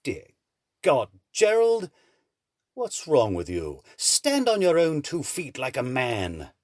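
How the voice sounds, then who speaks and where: A man's voice: a little high, wildly swooping pitch, slow, a little mellow, dry recording, studio quality, exaggerated voice. A man playing a suave, menacing villain in an audio drama, mannered, condescending and mockingly polite. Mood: scornful.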